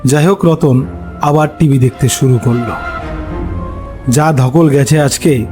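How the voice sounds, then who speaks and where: A man narrating a scripted horror story in a dramatic, suspenseful way, pausing between short phrases. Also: mid-range voice. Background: background music.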